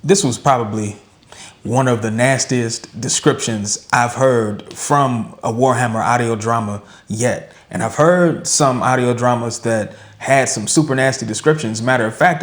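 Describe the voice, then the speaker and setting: A man with a medium-pitched voice co-hosts a reaction show. He gives conversational commentary, laying out his thoughts in a measured, orderly manner.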